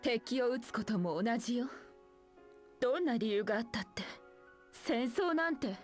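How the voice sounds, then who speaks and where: Game role: war-weary female soldier played by a woman, earnest and lamenting, higher register, very brisk delivery. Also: lively intonation, faint music behind, close to an everyday voice. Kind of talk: Japanese video game drama acting.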